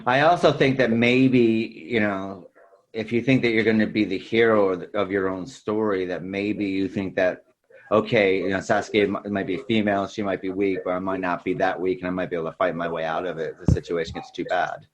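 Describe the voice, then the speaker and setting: Low-voiced man rambling through film talk. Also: fairly even intonation, brisk, poor audio. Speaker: podcast co-host. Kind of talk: casual film discussion.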